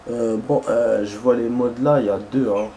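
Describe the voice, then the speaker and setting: A man's voice: medium pitch, steady tone, moderate pace, mellow, a little hiss or hum. Young man rambling over his gameplay. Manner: rambling, hesitant, many fillers and restarts. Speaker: gaming streamer. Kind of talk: casual chat while playing.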